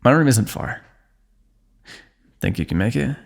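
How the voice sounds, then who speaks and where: A man's voice: mid-range voice, measured pace, vocal fry. A man playing a wry, wounded fantasy ruler. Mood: dry teasing. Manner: dry, teasing, calm understatement. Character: wry wounded male ruler, teasing old comrade. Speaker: ASMR roleplay voice actor. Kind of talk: fantasy ASMR roleplay.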